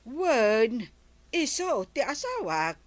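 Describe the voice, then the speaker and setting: An actress playing a patient, polite young wife in a radio comedy drama, speaking softly and respectfully. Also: female voice, fairly high pitch, sing-song, extremely slow, dry recording, exaggerated voice. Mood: placating.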